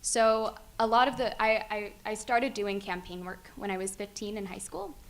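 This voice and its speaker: A woman's voice: mid-range voice, moderate pace. A young woman on a conference panel, answering thoughtfully with frequent ums and easy laughter. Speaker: youth activist panelist. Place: conference hall stage. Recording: faint background noise.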